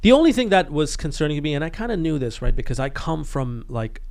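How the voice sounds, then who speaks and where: A man's voice: a little high, animated, fast, a little mellow. A young man from Bangladesh co-hosting a podcast, talking bluntly in casual chat with lots of fillers and restarts. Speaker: podcast co-host.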